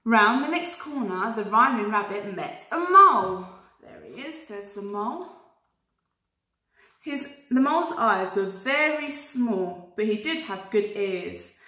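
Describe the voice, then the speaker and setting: A British woman reading a storybook to young children like a teacher, pausing to explain, in an echoey room. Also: medium pitch, expressive, sharp tone. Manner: explains words to young listeners. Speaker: primary school teacher reading aloud.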